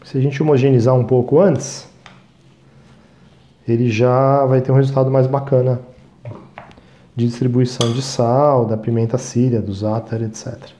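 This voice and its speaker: A man's voice: mid-range voice, flat delivery, moderate pace, long pauses, dark tone, echoey room, a little hiss or hum. Brazilian man chatting through a recipe. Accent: Brazilian Portuguese. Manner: chatty, talks to viewers as "gente", frequent "tá?" checks. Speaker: cooking show host. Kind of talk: cooking demonstration. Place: small studio kitchen set.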